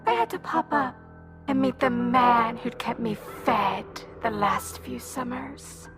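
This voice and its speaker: Female serpent monster: high-pitched, very unhurried, seductive and mocking, drawing words out, music underneath. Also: lively intonation, gravelly, full character voice. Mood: scornful. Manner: taunting, sing-song mock-sweet, drawn-out words. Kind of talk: dramatic audio drama acting.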